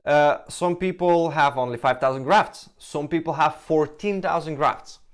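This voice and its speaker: A man from Lithuania with a Russian-tinged accent, answering viewer questions in casual, halting English full of restarts and fillers. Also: a little high, clear, resonant tone. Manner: halting, restarts sentences, frequent "like" and "you know". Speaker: hair transplant Q&A host.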